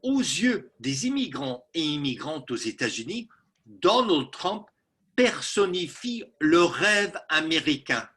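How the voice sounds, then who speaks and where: Man from Texas, husky, slow deliberate delivery, swooping pitch, bright tone, emphatic radio guest. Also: sing-song. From Texas, USA. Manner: emphatic, insistent, with slow stressed phrases. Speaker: guest political analyst. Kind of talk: radio interview answer.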